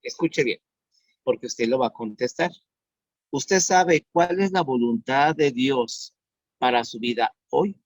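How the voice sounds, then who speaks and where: A man in his fifties preaching an online sermon as pastor, earnest and repeating his questions slowly for emphasis. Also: mid-range voice, no room sound. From Mexico. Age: 50s. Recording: dull dry sound.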